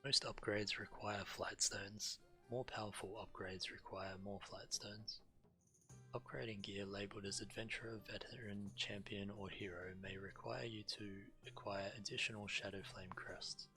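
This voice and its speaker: A man's voice: on the low side, little pitch movement, normal speed, pushed and bright. A man giving understated, matter-of-fact commentary on a video game and reading quest text aloud. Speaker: game streamer. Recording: game music faintly behind. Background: video game music.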